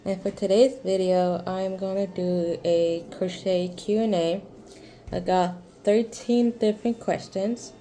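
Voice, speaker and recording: A woman in her twenties hosting a casual crochet Q&A vlog, talking in a halting, rambling way with lots of fillers and restarts. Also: mid-range voice, steady tone, dark tone, slight background noise. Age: 20s. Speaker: crochet vlogger.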